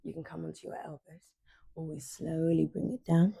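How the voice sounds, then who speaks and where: A woman in her twenties guiding a morning stretching class, cueing softly and unhurriedly as she moves. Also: low-pitched, flat delivery, fairly quick, creaky, slightly rough, no room sound. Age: 20s. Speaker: yoga instructor. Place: sunlit living room with large windows.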